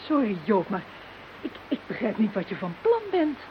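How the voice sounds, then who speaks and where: A woman playing a sensitive, excitable telepath in a radio drama. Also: medium pitch, moderate pace, lightly acted. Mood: thoughtful. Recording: dull, hissy radio drama recording.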